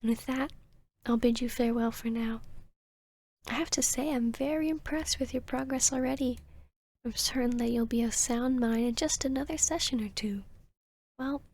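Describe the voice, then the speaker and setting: A woman playing a polite, soft-spoken female therapist in an ASMR roleplay, slightly flustered and hesitant, with a soothing, courteous manner. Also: on the high side, moderate pace, no echo. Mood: polite, encouraging.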